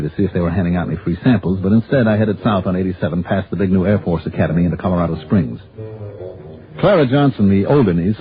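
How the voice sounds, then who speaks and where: An American actor playing a wry, cool, skeptical insurance investigator in a 1950s radio drama, with a dry, clipped delivery. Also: male voice, low-pitched. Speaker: lead detective and narrator. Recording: tinny, band-limited old radio recording with music.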